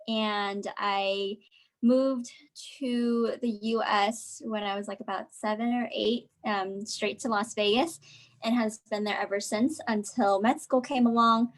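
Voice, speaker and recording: A young woman born in Vietnam, a medical student, introducing herself in a friendly, unhurried way on a video call. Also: mid-range voice, steady tone.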